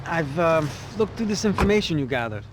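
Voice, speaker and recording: A man's voice: fairly high pitch, lively intonation, a little fast, close to an everyday voice. A man playing a wry, discreet investigator in a video game, speaking in an understated manner. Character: wry, discreet male investigator sharing intelligence. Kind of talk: cinematic video game acting. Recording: clear background ambience noise.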